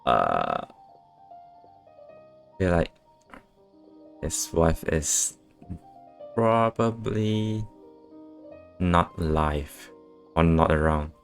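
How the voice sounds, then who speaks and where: A man's voice: extremely low pitch, sing-song, very slow, creaky, pauses often, soft background music. A man giving casual, wry commentary while streaming a video game, speaking drily.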